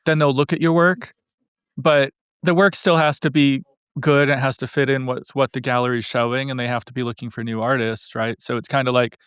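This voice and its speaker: A man's voice: medium pitch, steady tone, a little fast, a bit bright, clean tone, no room sound, studio quality. A man hosting an interview, asking long, meandering questions full of hedges and fillers. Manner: rambling, hedging questions full of you know and like. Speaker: interviewer, show host. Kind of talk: casual interview conversation.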